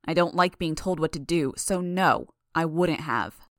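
An aggressive, distrustful young woman, as a female audiobook narrator plays her. She speaks in short combative jabs with plenty of swearing. The voice sits a little low, in a very dry recording. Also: moderate pace, dry recording, close to an everyday voice. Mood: threatening. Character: hostile, foul-mouthed young woman, aggressive and distrustful loner. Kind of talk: dramatised audiobook dialogue.

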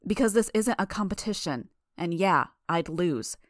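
A stubborn, earnest teenage girl, voiced by a female audiobook reader. She argues insistently and defiantly. Her voice is slightly low and recorded very dry. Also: lively intonation, normal speed, dry recording, close to an everyday voice. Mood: heated, earnest. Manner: insistent, argumentative. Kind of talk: dramatised audiobook dialogue.

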